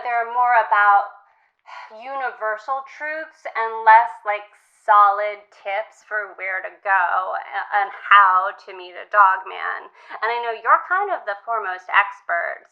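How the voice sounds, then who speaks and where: Woman acting a teenage girl caller, eager, naive, cheeky, chatty, earnest, slightly dull sound. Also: on the high side. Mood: earnest, hopeful. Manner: chatty teenage filler talk, earnest and unabashed. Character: eager, naive, cheeky sixteen-year-old girl smitten with dogmen. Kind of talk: improvised comedy phone-in.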